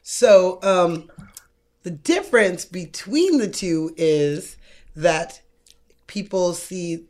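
A woman's voice: lowish voice, animated, extremely slow, a little mellow. A woman co-hosting a podcast, explaining things in casual, informal talk with swearing. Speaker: podcast co-host. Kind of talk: casual chat.

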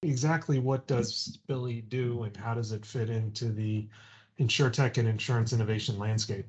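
A man's voice: medium pitch, steady tone, normal speed, darkish tone. A man hosting an interview, asking conversational questions that often restart mid-sentence. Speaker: podcast host. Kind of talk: interviewing a guest. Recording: dull lo-fi audio.

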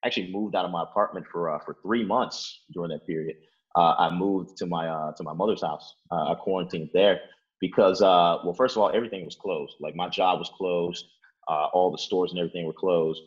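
A young man with a very deep, low voice talks through an interview question in a loose, meandering way, peppering his sentences with "like" and "uh" and restarting often. He's from Chicago and speaks from his apartment. The recording sounds a bit muffled and dull. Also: fairly even intonation, a little fast. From Chicago, Illinois. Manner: rambling, many fillers like "like" and "uh", restarts. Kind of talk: casual interview, storytelling.

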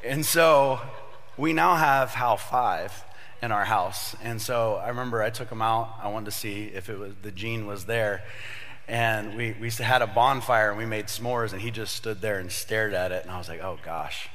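A man in his thirties, a pastor with a slightly raspy voice from a cold, giving a casual, joking sermon to young adults. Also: fairly low pitch, normal speed, a bit bright, big-room sound. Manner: chatty storyteller, jokey asides, many fillers.

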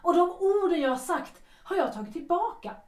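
A stage actress in her thirties facing her former husband, earnest and reproachful. Her voice is high, with formal, emphatic period-drama phrasing. It sounds like a radio play in a very roomy, echoing interior. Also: big pitch swings, darkish tone. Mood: indignant. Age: 30s. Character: earnest, reproachful actress ex-wife, 33, seeking forgiveness.

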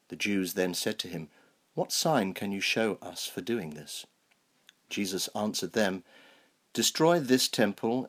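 A man, a clergyman, delivering a scripted sermon and scripture reading in a measured, explanatory manner. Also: on the low side. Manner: measured, explanatory, rhetorical questions. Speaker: clergyman, Anglican canon.

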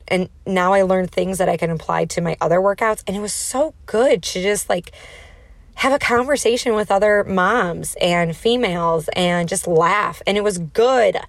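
Nasal, slightly creaky woman podcaster. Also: medium pitch, dry recording. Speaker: podcast host. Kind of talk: casual solo podcast talk.

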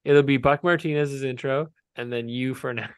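A man's voice: mid-range voice, fairly even intonation, smooth, no room sound, clean studio sound. Joking, rambling podcast host in his forties. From Canada. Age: 40s. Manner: joking, rambling, quick to laugh. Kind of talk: casual chat.

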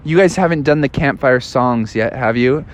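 A man's voice: medium pitch, normal speed, voice pushed down, natural voice. A man voicing a laid-back, grumpy young male animal who talks in slangy dude speak and whines a lot. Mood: grumbling. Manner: slangy surfer-dude talk, whiny.

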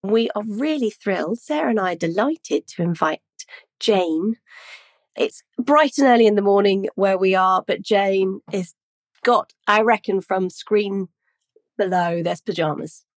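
A woman's voice: medium pitch, measured pace, no room sound. A woman hosting a podcast, introducing the show and her guests with enthusiasm. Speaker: podcast host.

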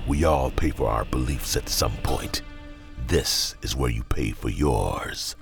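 Menacing cyborg zealot, extremely deep gravel, slow solemn delivery, sci-fi audio drama, music underneath. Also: male voice, heavily acted. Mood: cold menace. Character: cold, devout cyborg cultist male enforcer, menacing.

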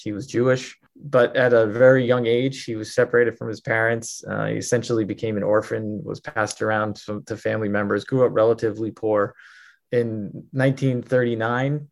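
Gravelly low-voiced man telling a story. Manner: fluent, storytelling with fillers. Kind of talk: interview answers. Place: New York.

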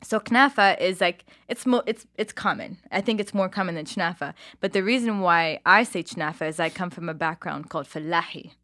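A woman from Palestine chatting as a podcast guest. She explains things with eager enthusiasm and laughs often. Her voice sits a little low and sounds close and dry. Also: fairly quick, hardly any pauses, a bit bright, no room sound. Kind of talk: casual podcast chat.

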